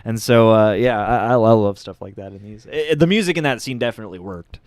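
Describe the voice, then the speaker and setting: A man's voice: fairly low pitch, a little fast, no room sound, clean studio sound. A man co-hosting a casual movie-talk live stream, reading out viewer questions and giving thoughtful replies.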